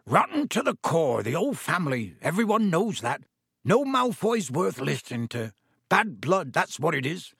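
Giant-sized, gruff, protective storybook fellow, played by a man, blunt and hearty, closely recorded. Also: a little high, big pitch swings, normal speed, a bit bright, no echo, clean studio sound, exaggerated voice. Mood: gruff reassurance.

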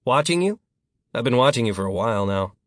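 A man's voice: medium pitch, expressive, fast, dry recording, natural voice. A man voicing a smug, teasing male friend in an audiobook story, glib and matter-of-fact. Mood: smugly accusing.

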